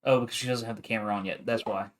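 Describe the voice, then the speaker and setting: A man hosting a livestreamed podcast in casual chat, relaxed and stumbling over his first words. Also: fairly low pitch, very fast. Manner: relaxed, repeats words when starting sentences. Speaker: podcast host.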